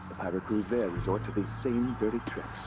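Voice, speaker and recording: Guy voicing calm methodical holy soldier in a game, lowish voice, really noisy background. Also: brisk, lightly acted. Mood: focused. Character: confident, methodical male overseer trained in field tactics. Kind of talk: video game acting. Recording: loud noise and ambience behind.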